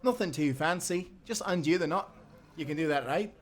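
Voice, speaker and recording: A man's voice: a little high, expressive, moderate pace, close to an everyday voice. A man playing a hearty, friendly timberman turned sailor who talks in folksy, encouraging old-time seafaring speech in a nautical audio drama. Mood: brisk, encouraging. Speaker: sailor guiding a newcomer.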